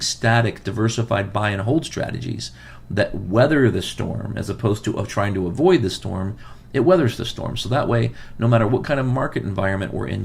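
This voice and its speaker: A man's voice: lowish voice, creaky, a little mellow. A man hosting a financial advice podcast, losing his voice, who talks earnestly and repeats key points for emphasis. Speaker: financial advisor and podcast host.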